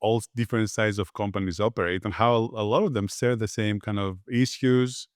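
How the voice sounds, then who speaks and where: A man from Greece, a podcast guest, giving relaxed conversational answers with frequent fillers and restarts. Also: fairly low pitch, brisk, clear, resonant tone, no room sound, clean studio sound. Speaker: podcast guest, agency founder.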